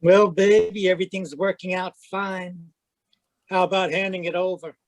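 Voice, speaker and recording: A man's voice: high voice, level tone, moderate pace, no echo, natural voice. A man playing a pushy, slick, scheming villain in an old-time radio drama. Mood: wheedling, impatient. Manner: slick, menacing, calls woman baby. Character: scheming, pushy male villain in frontier melodrama.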